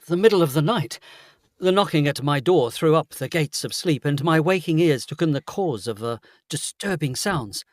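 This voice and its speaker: An actor playing an earnest, formal gentleman barrister, narrating and speaking in a gothic audio drama. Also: fairly high pitch, dry recording, studio quality, lightly acted. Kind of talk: first-person narration and audio drama dialogue.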